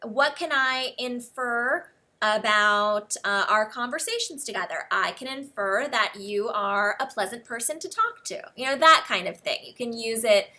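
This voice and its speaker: Bright, slow-speaking American woman teacher. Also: on the high side, lively intonation. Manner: slow, careful explanations, spells words out. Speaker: English teacher. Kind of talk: one-on-one English lesson.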